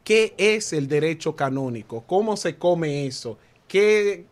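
A man's voice: a little high, animated, slow. A man hosting a talk show, joking and laughing with his guests. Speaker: host. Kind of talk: casual talk show chat.

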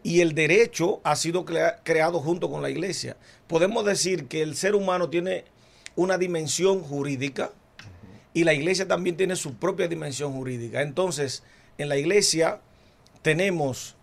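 Brief: male priest guest, gravelly and slightly high, measured explainer. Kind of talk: explanatory talk.

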